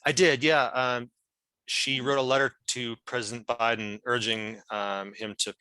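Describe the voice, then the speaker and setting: A man's voice: mid-range voice, level tone, measured pace, pushed and bright, smooth, no room sound, poor audio. A man explaining things as a guest at an online meeting, thoughtful and often searching for words. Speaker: member of a whistleblower's legal team, guest speaker.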